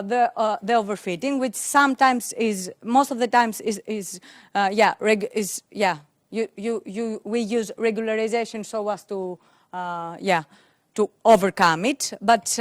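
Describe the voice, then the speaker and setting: A young woman whose first language is Greek gives a technical conference talk into a handheld mic in a conference room, pausing often with fillers and restarts. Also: medium pitch, dry recording. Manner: hesitant, many fillers and restarts. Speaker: conference speaker.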